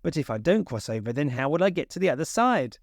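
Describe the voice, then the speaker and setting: A man voicing a dithering, excitable male worrier in a children's storybook. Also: a little high, fast talker, no echo, studio quality. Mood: anxious. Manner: fretful, excitable.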